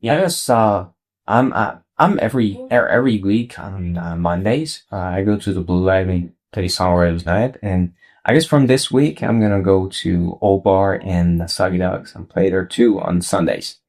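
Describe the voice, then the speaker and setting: A man's voice: low-pitched, moderate pace, darkish tone. A man, a musician, casually answering questions as a guest in a radio interview. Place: radio station studio.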